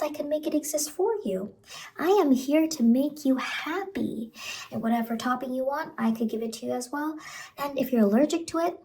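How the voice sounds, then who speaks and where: A sinister clown lady acted by a woman speaks in a high, soft voice, luring and falsely reassuring the listener. It is horror ASMR roleplay, recorded close to the mic with clear noise behind the voice. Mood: sly, luring. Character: sinister, coaxing female ice cream truck clown. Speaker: ASMR performer. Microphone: close ASMR microphone.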